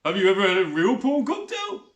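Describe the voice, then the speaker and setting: A man's voice: sits high, huge pitch swings, brisk, voice pushed up. A man voicing a greasy, fawning, lonely rat catcher with a smarmy manner. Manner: oily, smarmy. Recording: roomy echo.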